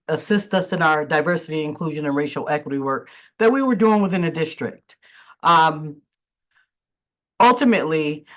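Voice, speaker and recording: A woman who is a school district administrator, answering questions in a webinar panel and pausing often with fillers and restarts. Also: deep voice, fairly bright tone. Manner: halting, many fillers and restarts.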